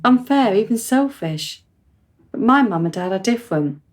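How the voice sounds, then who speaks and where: A woman's voice: medium pitch, animated, moderate pace, close to an everyday voice. Woman playing a precocious, forthright young girl. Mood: earnest. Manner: articulate, formal wording, insistent. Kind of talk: radio play acting.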